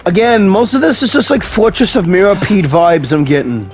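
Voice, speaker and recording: High-pitched, animated man commenting on gameplay. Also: moderate pace. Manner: playful, reacts aloud to the story. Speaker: gaming video host. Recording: faint game music behind.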